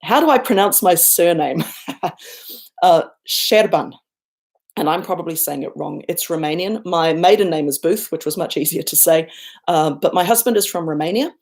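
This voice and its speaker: A middle-aged New Zealand woman talking casually to her viewers in a livestream from home, reading comments aloud and answering as she goes. Her voice sits fairly low and has a gravelly, fried edge. She is chatty and self-deprecating. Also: moderate pace, darkish tone. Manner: chatty and self-deprecating, bursts up high when thanking viewers. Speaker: YouTuber hosting a livestream Q&A. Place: home, looking out over a garden and lake.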